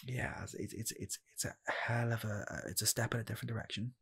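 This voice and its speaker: A young man playing an eager, nervous rocket scientist in a comedic ASMR job interview, speaking softly and haltingly, repeating himself. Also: on the low side, heavily acted. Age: 20s. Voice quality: soft, husky, gravelly, slipping into whispers. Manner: halting, stammering, repeats phrases. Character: eager, nervous rocket scientist applying for fast-food work. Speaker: ASMR roleplayer.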